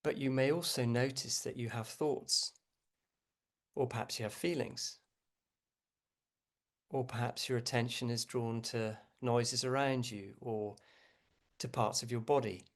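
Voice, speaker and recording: A man leading a guided meditation as a teacher, speaking gently and without hurry, with frequent pauses. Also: medium pitch, fairly even intonation.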